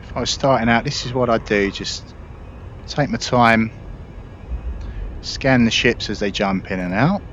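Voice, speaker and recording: A man's voice: medium pitch, a little slow, long pauses, fairly bright tone. A man giving casual, explanatory commentary over his video game play. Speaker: gaming video creator. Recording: dull audio, faint game noise. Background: spaceship game ambience.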